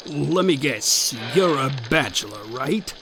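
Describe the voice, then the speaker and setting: A man's voice: medium pitch, expressive, takes it slowly, crowd noise. A man playing a smug, know-it-all turkey hotline agent who interrupts and guesses the caller's problem. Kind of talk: comedic sketch.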